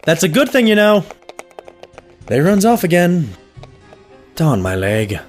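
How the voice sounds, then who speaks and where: A performer voices a fussing male pony medic in a cartoon drama. The voice swings widely in pitch, caring but exasperated, over faint music. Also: huge pitch swings, unhurried, darkish tone. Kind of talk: fan audio drama acting.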